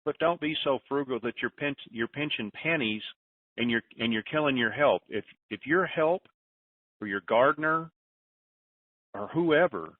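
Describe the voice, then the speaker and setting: A man's voice: medium pitch, normal speed, no room sound. Distant folksy man hosting over the phone. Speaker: conference call host. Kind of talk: informal call-in talk with advice. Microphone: telephone conference line. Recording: muffled phone line, distant mic.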